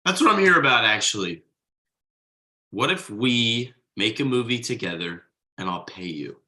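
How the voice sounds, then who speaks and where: A man playing Thomas, an earnest, frugal writer who gets excited easily, in a table read of a TV pilot script. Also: medium pitch, moderate pace. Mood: earnest, questioning.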